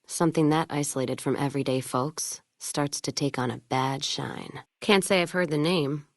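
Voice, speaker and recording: An actress playing a hard-drinking, foul-mouthed, bitter caravan trader in a video game, with a gruff, sardonic, blunt manner. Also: female voice, sits low, normal speed, dry recording, studio quality. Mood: wary, dry. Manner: gruff, sardonic, blunt frontier drawl of speech, dropped words. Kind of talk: video game character dialogue.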